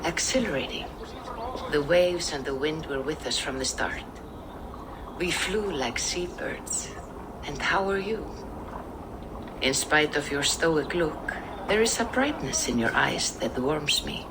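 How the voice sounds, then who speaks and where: A woman playing a wise, serene Norse seeress in a video game, speaking in measured, poetic, gracious phrases. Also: sits low, lively intonation, background noise. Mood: warm, delighted. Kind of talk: dramatic video game acting.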